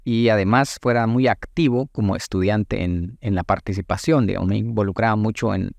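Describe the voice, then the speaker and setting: Low, gravelly Guatemalan man. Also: a little fast, a little mellow, no echo, lo-fi sound. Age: late 30s. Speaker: politician and political scientist, interview guest. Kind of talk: reflective interview talk.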